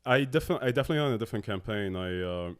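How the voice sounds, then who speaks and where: Deep-voiced man, halting with many uhs. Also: lively intonation, clear, resonant tone. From Abu Dhabi. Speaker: podcast guest, tech co-founder. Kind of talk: casual interview talk.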